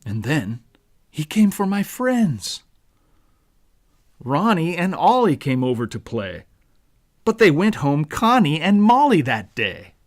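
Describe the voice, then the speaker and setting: Guy reading a kids rhyming book out loud, slow and really expressive, up and down a lot. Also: on the high side. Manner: animated, dramatic, deliberate storytelling. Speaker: author reading own book. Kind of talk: scripted rhyming children's storybook narration.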